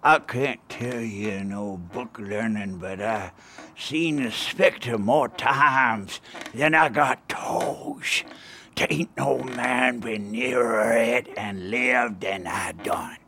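An actor playing a drunken, rambling backwoods man with a gravelly rural drawl. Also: mid-range voice, slow pace, pushed and bright, slight background noise.

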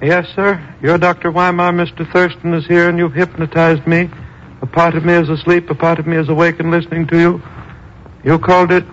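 An actor playing a bewildered, earnest army captain in a 1950s radio drama, with halting, hesitant delivery. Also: male voice, a little high, little pitch movement, natural voice. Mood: entranced. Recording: muffled, noisy old radio recording.

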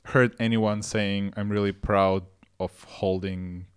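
Man, low smooth voice. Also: little pitch movement, takes it slowly. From Russia. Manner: questioning, repeats words. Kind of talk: casual chat.

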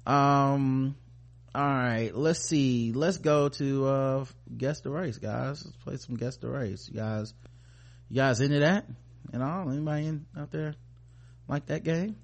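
A man's voice: medium pitch, a little slow. An American man co-hosting a podcast in casual, profane, slangy chat, playfully addressing his listeners. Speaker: podcast co-host.

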